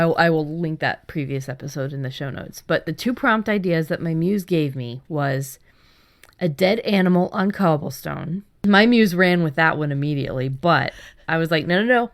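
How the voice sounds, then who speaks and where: A woman's voice: low voice, moderate pace. A woman hosting a casual podcast about writing fiction, chatting in an enthusiastic way. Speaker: podcast host.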